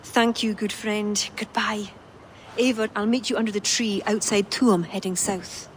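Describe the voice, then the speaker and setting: A woman's voice: mid-range voice, steady tone, unhurried, slight background noise, lightly acted. An actress playing an earnest young woman ally who speaks urgently.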